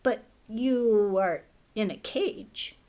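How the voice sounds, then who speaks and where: A woman's voice: mid-range voice, drawn-out words, darkish tone. A woman voicing a thin, hungry dove in a children's fable, speaking in an earnest, plaintive way. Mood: concerned.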